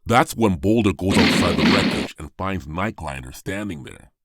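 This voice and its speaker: A man's voice: low voice, expressive, normal speed, very noisy. A man narrating a scripted audio drama in a solemn, storytelling manner. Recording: prominent music under voice.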